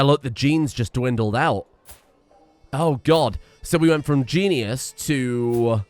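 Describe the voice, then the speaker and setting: A young man giving casual, excitable commentary on a strategy game, often exclaiming and restarting his sentences. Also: mid-range voice, no room sound. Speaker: gaming streamer. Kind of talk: casual let's-play commentary.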